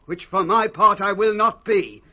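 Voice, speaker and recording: An actor playing a blunt, quarrelsome old servant in 1960s British radio Shakespeare, scornful and declamatory. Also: male voice, sits high, unhurried, bright, forceful tone, full character voice. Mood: plain, defiant.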